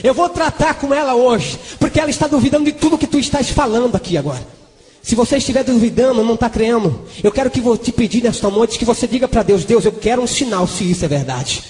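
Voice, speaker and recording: A Brazilian man preaches in a very high-pitched voice, with long reverb trailing behind him as in a big hall. His Pentecostal testimony is delivered fervently, full of exclamations and bursts of speaking in tongues. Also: animated, fast, a little mellow. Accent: Brazilian Portuguese.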